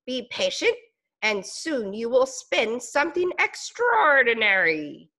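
A woman's voice: a little high, sing-song, takes it slowly, bright, forceful tone, pitched above the performer's own voice, exaggerated voice. A woman voicing a kindly spider in a storybook, drawing out her words. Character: kindly, mysterious spider, drawn-out speech.

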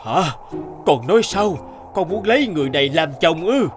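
An actor playing a stern, imperious old male emperor of heaven in an animated fairy tale. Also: fairly high pitch, music in the background, natural voice. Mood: astonished. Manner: imperious, slow.

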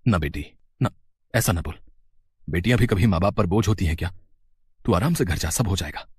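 Guy doing a humble cartoon dad, husky and kinda low, dry sound. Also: lively intonation, a little fast, dry recording, heavily acted. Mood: reassuring. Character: humble, self-sacrificing middle-aged father of a bride.

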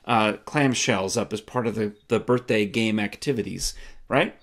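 A man's voice: fairly low pitch, moderate pace. A man in his fifties hosting a casual chat from home, joking and laughing often. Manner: animated, joking, laughs a lot. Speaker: host. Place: home room, indoors. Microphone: desk camera framing.

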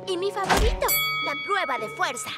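A woman voicing a playful, fun-loving, confident young girl in a cartoon, with expressive, drawn-out phrasing. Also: very high voice. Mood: enthusiastic. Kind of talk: animated cartoon dub acting. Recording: music behind.

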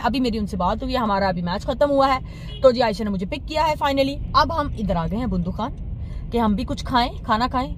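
A woman's voice: fairly high pitch, poor audio. A young adult woman with a Pakistani accent, chatting casually to viewers as a vlogger. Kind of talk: casual vlog chat. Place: inside a car, on city streets. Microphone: phone at arm's length. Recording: loud car and traffic noise.

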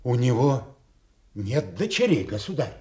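An actor playing a steady, solicitous, loyal nobleman attending his master in a Soviet radio drama. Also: mid-range voice, unhurried. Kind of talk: classical Soviet stage acting for radio. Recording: echoey Soviet radio theatre recording.

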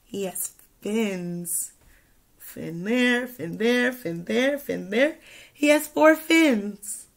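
A young woman teacher reading a picture book aloud to children, expressively, pausing to ask questions and add asides. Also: on the high side, expressive, vocal fry. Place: small indoor room with classroom wall charts.

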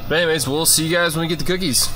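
Young male fitness vlogger, casual car-seat chatter over loud background music. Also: a little high, fairly even intonation, very fast. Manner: upbeat, rambling, filler-heavy. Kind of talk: casual vlog chat to camera. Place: inside a parked car. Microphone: handheld camera at arm's length.

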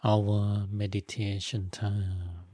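Casting: male meditation guide, low-pitched and very mellow, gentle short instructions, unhurried with long pauses. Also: level tone, slightly rough, no echo. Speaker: Buddhist monk, meditation teacher.

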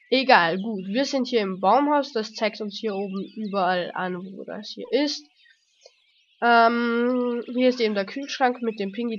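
Slow, relaxed woman with a cold. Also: mid-range voice. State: has a cold, coughing. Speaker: let's play host. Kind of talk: casual gameplay commentary. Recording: dull lo-fi home mic with faint noise.

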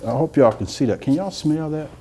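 A middle-aged man, a soft-spoken chef talking casually through a cooking demonstration in a studio kitchen. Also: on the high side, lively intonation, brisk, background noise. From Georgia, US. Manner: soft-spoken, rambling and homey, talks while working. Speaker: chef and cooking show host. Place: indoor demo kitchen set.

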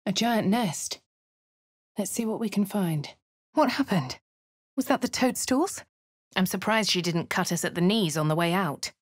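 Woman, posh English accent, measured, husky, dry studio. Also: mid-range voice, expressive, no echo. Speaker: player character voice. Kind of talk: game voice lines and first-person narration. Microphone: studio mic.

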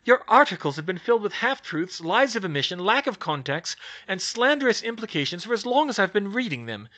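A man voicing a sharp young witch, high and biting. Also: sing-song, moderate pace, exaggerated voice. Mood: heated.

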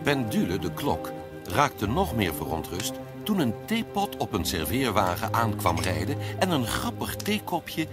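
A man's voice: fairly low pitch, wildly swooping pitch, unhurried, music in the background. A man narrating a scripted fairy tale as a storyteller.

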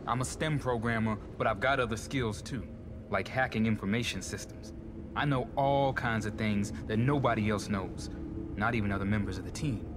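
A man's voice: fairly low pitch, normal speed, noisy background, natural voice. An actor playing a nervous male programmer and hacker in a video game, talking in a wheedling, persuasive way. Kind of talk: video game cutscene acting.

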